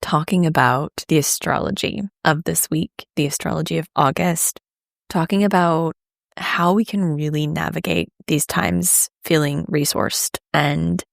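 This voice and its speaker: Low, scratchy-voiced woman podcaster. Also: creaky, no echo, clean studio sound. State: scratchy voice after an illness. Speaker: astrologer and podcast host. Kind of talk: solo podcast talk.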